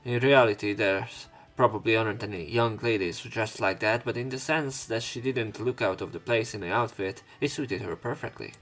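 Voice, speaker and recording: A man's voice: fairly low pitch, normal speed, hardly any pauses, fairly bright tone. A man hosting a let's play, reading a visual novel aloud with casual commentary, often stumbling over words and correcting himself. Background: game music behind the voice.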